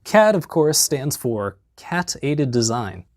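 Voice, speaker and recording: A young man in his twenties with a lapel mic in a basement, narrating a comedic DIY tutorial in a deadpan, jokey way with mumbled asides. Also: mid-range voice, slow pace, no room sound. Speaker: DIY maker vlogger. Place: basement workshop, indoors.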